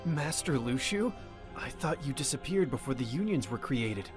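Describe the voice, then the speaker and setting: A man's voice: mid-range voice, expressive, close to an everyday voice. A man voicing a hesitant, puzzled young man in a video game fan dub, tentative in delivery. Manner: halting, tentative. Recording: music behind the voice.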